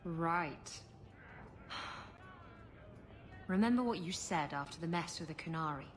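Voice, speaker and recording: A woman's voice: fairly low pitch, very animated, slow, soft background music. An actress playing a brash, defiant rogue woman in a video game, blunt and irritable. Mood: defensive, irritated. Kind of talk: video game character acting.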